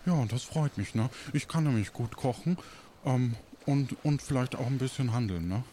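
A man's voice: mid-range voice, normal speed, mellow, slight background noise, close to an everyday voice. A man acts out a put-upon ship's cook in an audio play. He is modest and folksy, grumbling in a mid-range voice and seeking agreement with little tag questions.

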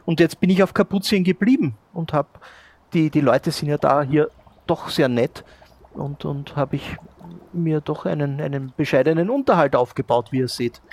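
Higher-voiced man, halting and improvising. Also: darkish tone. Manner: halting, repeats words while searching. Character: modest shopkeeper, a shipwrecked former sailor. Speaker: player in an improvised pirate audio adventure. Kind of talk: improvised in-character role-play dialogue.

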